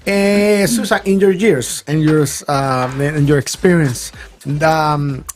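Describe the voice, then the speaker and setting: A woman's voice: deep voice, slow pace, a little mellow. A woman hosting a casual radio interview, a native Spanish speaker who searches for words in English. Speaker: radio show host. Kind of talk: casual bilingual on-air interview. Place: radio studio.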